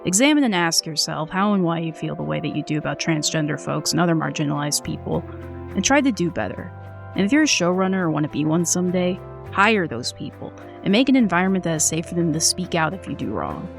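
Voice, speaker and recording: A woman narrating a scripted video essay in an earnest, measured way. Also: low voice, animated, vocal fry, faint music behind.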